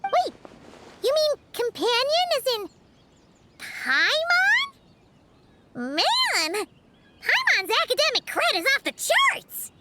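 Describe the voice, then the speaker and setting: A woman voicing a small, chirpy, boastful female fairy companion who talks in an excitable, self-important way. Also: very high voice, slow pace, pauses often, very noisy, exaggerated voice. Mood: excited, boastful. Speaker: game character. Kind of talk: game dialogue voice acting. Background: game music.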